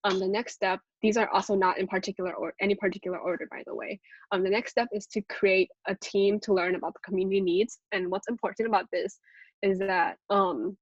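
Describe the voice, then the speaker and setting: A young woman intern presents over a dull, lo-fi video call. Her voice sits at a medium pitch with little room sound. She speaks Cantonese at home and feels her way through phrases with some hesitation. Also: fairly even intonation, a little fast, dry recording. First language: Cantonese at home, speaks several dialects. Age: young adult.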